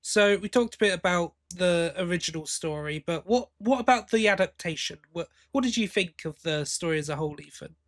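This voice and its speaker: Guy hosting a podcast, voice a bit on the high side, just chatting. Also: fairly quick, hardly any pauses, a bit bright. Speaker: podcast host. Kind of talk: casual discussion.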